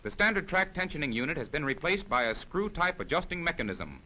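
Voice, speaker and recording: A man's voice: a little high, very animated, fairly quick, sharp tone, rough recording. A man reading scripted narration for a 1940s military instructional film in a formal, precise, explanatory manner.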